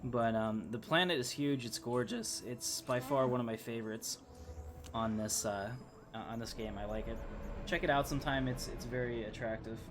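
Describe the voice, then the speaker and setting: Chatty male game streamer, mid-pitched voice. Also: fairly even intonation, normal speed, noisy background. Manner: chatty, rambling. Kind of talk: casual live gameplay commentary.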